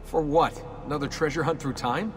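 A man's voice: on the high side, normal speed, close to an everyday voice. An actor playing a sarcastic young everyman in a video game, talking in a casual, wry way. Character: sarcastic young everyman, reluctant assassin recruit. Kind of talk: video game cutscene acting. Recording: long reverb, music behind.

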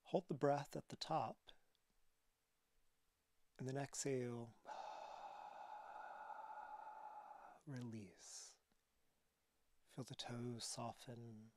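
A man leading a guided relaxation as a yoga teacher, speaking calmly and softly with breathy phrases and long pauses. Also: medium pitch, no room sound. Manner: calm, soothing instruction.

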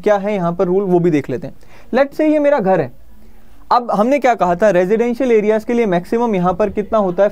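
A man's voice: sits high, darkish tone. A man in his thirties with an Indian accent, explaining like an exam-prep teacher in a conversational lecture, often rephrasing his points. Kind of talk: explanatory lecture mixing Hindi and English. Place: indoor studio in front of a presentation screen.

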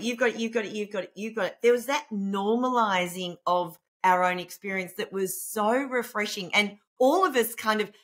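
Loud, animated woman on a video call. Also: medium pitch. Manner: animated, talks with her hands, laughs easily. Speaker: podcast host. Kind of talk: conversational interview over video call. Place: indoor home room. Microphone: video call webcam.

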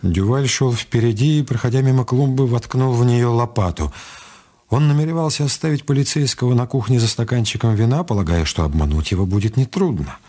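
A man's voice: mid-range voice, animated, brisk, a little mellow, lightly acted. A man narrating a radio crime thriller as a grave storyteller. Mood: measured. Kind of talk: dramatic radio play narration. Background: music under the voice.

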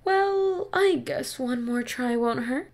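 A woman playing a devoted, excitable mother in an audio drama, expressive and motherly. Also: high-pitched, slow pace, full character voice. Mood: gentle, reassuring. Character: devoted, excitable mother, an eccentric village baker. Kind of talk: amateur audio drama acting.

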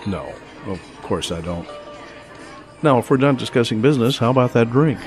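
An actor playing a polite, composed college professor who speaks formally. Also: male voice, mid-range voice, animated, normal speed, lightly acted. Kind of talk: radio drama acting. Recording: dialogue over music.

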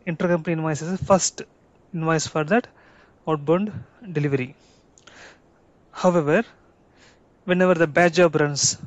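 A man presenting a software tutorial, explaining step by step in short, halting, deliberate chunks. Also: fairly high pitch, no room sound. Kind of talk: explanatory tutorial, semi-improvised. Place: voice-over for a screen recording. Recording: dull lo-fi close dry recording.

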